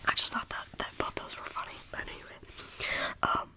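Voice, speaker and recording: A young adult telling a rambling, casual story in a soft ASMR whisper, with a slight lingering cough. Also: a little fast. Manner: rambling, casual, full of "like" fillers.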